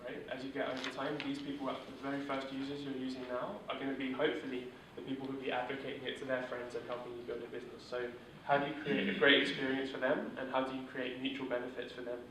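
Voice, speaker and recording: A man leading a startup workshop, explaining principles in an informal, steady way with fillers. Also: medium pitch, fast talker, few pauses, a little hiss or hum. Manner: steady, monotone delivery with filler words. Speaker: workshop instructor. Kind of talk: informal teaching talk. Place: large echoey room. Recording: echoey room from far off.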